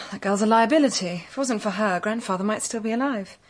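Husky, exasperated young woman, played by an actress. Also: medium pitch, fast, lightly acted. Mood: exasperated, worried. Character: exasperated, protective elder sister, young woman. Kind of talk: radio drama acting.

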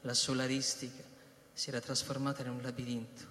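A man's voice: mid-range voice, completely flat delivery, brisk, bright, forceful tone, a little hiss or hum, natural voice. Echoing, solemn actor as a male chronicler. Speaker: second narrator in radio drama. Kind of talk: dramatic scripted narration in science-fiction radio drama. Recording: echoey reverberant hall sound.

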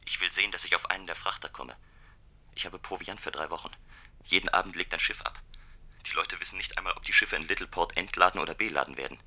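Undercover traveller, low voice, very sharp edge, clipped, factual, radio drama. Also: male voice, quick pace, full character voice. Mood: terse. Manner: clipped, matter-of-fact. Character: daring undercover traveller phoning in terse secret reports. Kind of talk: terse report in a radio play.

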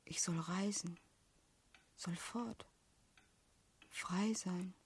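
An actress playing a wistful, repressed young postal clerk in a radio play, brooding in a half-whispered inner monologue. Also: female voice, lowish voice, fairly even intonation, extremely slow, husky, heavily acted.

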